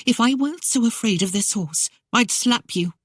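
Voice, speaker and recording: A man's voice: extremely high pitch, expressive, a little slow, no room sound, studio quality, pitched above the performer's own voice, exaggerated voice. A man voicing an indignant young married lady in an audiobook, huffy and scolding. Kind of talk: audiobook character voice.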